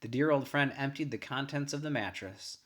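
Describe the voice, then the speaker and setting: A man with a medium-pitched voice reads a first-person short story aloud as scripted narration. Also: a little fast, fairly bright tone. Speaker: story reader.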